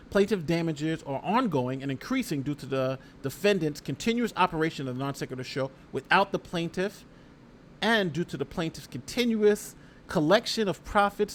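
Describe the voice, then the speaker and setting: A man's voice: on the high side, animated, fairly quick, slight background noise. A man giving casual live legal commentary as a lawyer, reading a document aloud and reacting in an emphatic, conversational way, often repeating phrases. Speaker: lawyer hosting a livestream.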